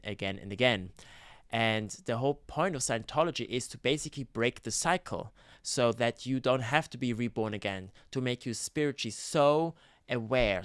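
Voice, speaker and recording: A man, telling his own story in a gentle, campy vlog, with hesitant fillers. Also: mid-range voice, clean tone, no room sound, studio quality. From Switzerland. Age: 30s. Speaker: YouTuber, ex-Scientologist. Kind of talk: personal testimony vlog.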